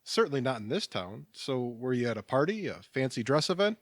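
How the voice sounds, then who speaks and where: A mild, patient businessman in an audio drama. He is gentle and a touch hesitant, but his pitch moves in a lively way. The recording is dry and free of room sound. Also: brisk, no room sound. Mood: gently teasing. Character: mild, settled, kindly businessman, a patient listener. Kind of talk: naturalistic audio drama dialogue.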